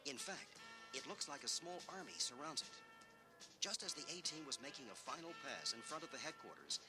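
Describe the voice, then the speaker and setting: A man narrates an eighties action story record in a theatrical, suspenseful way. His mid-pitched voice moves in lively swings, with music clearly playing behind him. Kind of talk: dramatic scripted story narration for a 1980s record.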